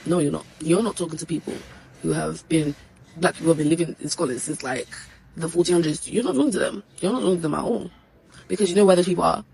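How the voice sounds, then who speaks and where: A woman's voice: low voice, quick pace, gravelly, a little mellow, breathy edge, poor audio. A woman ranting to camera in a blunt, exasperated, scolding way. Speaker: social media commentator. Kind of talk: ranting direct-to-camera video.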